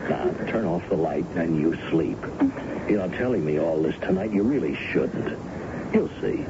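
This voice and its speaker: A man playing a gentle, worried middle-aged American father with a gravelly voice and coaxing, repetitive way, in a radio drama. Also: sits low, lively intonation, normal speed. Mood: soothing. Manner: coaxing, repeats his words. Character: gentle, worried father soothing his grown daughter. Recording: muffled, very noisy old radio recording.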